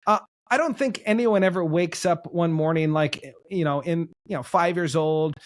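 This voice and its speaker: A man's voice: fairly high pitch, normal speed. A young man hosting an interview, gushing with praise for his guest and joking at his own expense. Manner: effusive, self-deprecating, many restarts and "like". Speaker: podcast host. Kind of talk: enthusiastic interview chat.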